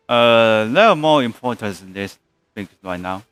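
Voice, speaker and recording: A male game streamer talking over his gameplay in loud, blurted bursts and occasional swearing. His voice sits at an ordinary middle pitch and he reacts on the spot as he plays. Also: big pitch swings, slow pace, clean tone. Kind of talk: live game commentary.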